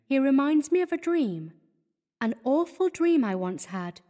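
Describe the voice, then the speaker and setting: A man reading aloud, voicing a young woman who is an artist's model, lively and chiding. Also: very high voice, measured pace, in a large hall, voice pushed up, heavily acted. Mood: musing.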